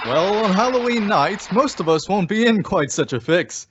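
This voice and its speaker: Man as a high, animated radio pitchman. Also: moderate pace, noisy background. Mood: cheerfully persuasive. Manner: folksy, salesmanlike. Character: folksy, persuasive male radio commercial announcer. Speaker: announcer. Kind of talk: old-time radio advertising read. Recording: muffled lo-fi recording.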